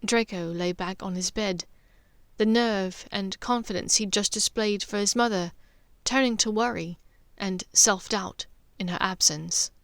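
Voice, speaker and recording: A woman narrating an audiobook story in a measured, expressive storytelling manner. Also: mid-range voice, dry recording. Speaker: narrator and author of a fan fiction podfic.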